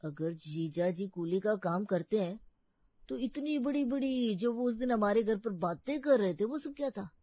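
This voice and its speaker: A woman's voice: mid-range voice, animated, normal speed, no room sound, dull audio, lightly acted. A woman voicing an earnest, suspicious young man in a cartoon story, urgent and indignant in manner.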